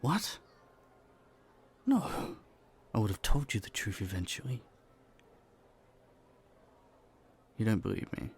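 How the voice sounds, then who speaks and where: Low, creaky gentlemanly young prince acted by a man. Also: big pitch swings, moderate pace, exaggerated voice. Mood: earnest. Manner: playful, polite, slightly flustered, hesitant stammers. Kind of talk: romantic audio roleplay, speaking to a listener. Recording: faint noise, background ambience.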